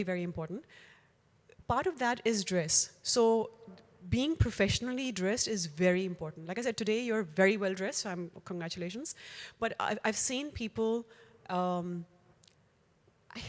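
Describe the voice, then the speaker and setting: Indian woman speaker, direct coaching tone, live talk in a reverberant hall. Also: mid-range voice. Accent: Indian, with Hindi mixed in. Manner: direct, coaching, code-switching into Hindi. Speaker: workshop speaker. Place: large room before a live audience.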